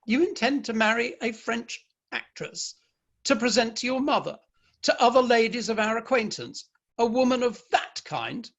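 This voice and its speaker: Man playing high-voiced, stern Victorian gentleman. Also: lively intonation, moderate pace, creaky, muffled recording, full character voice. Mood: stern, indignant. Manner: emphatic, formal, opinionated speech. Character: stern, proud Victorian father and novelist. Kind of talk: dramatic play reading.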